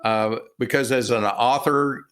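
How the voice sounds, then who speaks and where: Older texan guy, talks real slow, lots of uhs, folksy. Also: medium pitch, fairly even intonation, fairly bright tone. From Texas. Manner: slow, halting, many fillers, folksy. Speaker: author and former business owner. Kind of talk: conversational interview answers.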